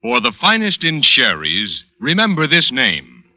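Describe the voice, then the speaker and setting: A man's voice: a little high, wildly swooping pitch, unhurried, gravelly, bright, forceful tone, no echo, highs cut off. A man reading a commercial in a polished, punchy 1940s radio announcer style. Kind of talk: 1940s radio advertising read with spelled-out slogan. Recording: vintage 1940s radio broadcast.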